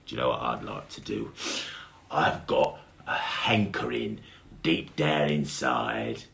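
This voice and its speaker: A man doing a bossy gravelly beetle bully. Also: extremely low pitch, slow pace, fairly bright tone, heavily acted. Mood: bossy scheming. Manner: domineering, snappish.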